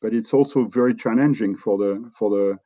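A middle-aged man from France speaks English with a French accent, in a fairly low voice. He talks loosely, with fillers and restarts. The sound is dry and a little dull and lo-fi. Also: warm tone, no room sound. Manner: halting, many restarts and fillers. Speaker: company executive guest. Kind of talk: relaxed conversational interview.

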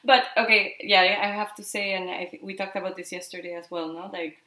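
A young woman from Peru, whose first language is Spanish, talks freely in a casual interview, her voice at a middle pitch. She strings her thoughts together with lots of "like" and a questioning "no?" at the ends of sentences. The room around her is echoey. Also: steady tone, fairly quick, bright, forceful tone. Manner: chatty, lots of "like" and tag "no?". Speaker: podcast guest.

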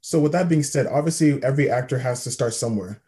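A man co-hosting a podcast, setting up questions in a quick, conversational way. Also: mid-range voice, dark tone. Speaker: podcast co-host. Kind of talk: casual interview questions.

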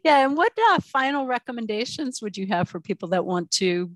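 A woman's voice: fairly high pitch, expressive, a little fast, fairly bright tone, no echo. Friendly woman podcast host. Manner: friendly questions, laughs along. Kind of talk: interview hosting.

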